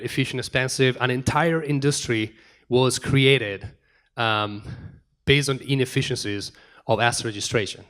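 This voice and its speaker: A man's voice: mid-range voice, fairly bright tone. A middle-aged man giving a conference talk in a room, hurrying through his points with frequent restarts and repeated words. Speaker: conference speaker.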